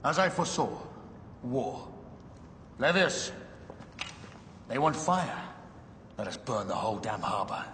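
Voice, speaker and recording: An actor playing a commanding, theatrical Roman general in a video game cutscene. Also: male voice, medium pitch, big pitch swings, measured pace, long pauses, a bit bright. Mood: resolute. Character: imperious Roman general commanding troops in war. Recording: echoey reverberant hall, faint background noise.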